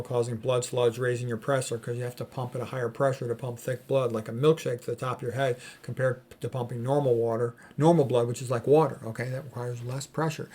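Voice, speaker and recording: A man giving an informal explanatory health talk as an educator, speaking in a blunt, opinionated and colloquial way. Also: medium pitch. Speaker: health educator, medical doctor.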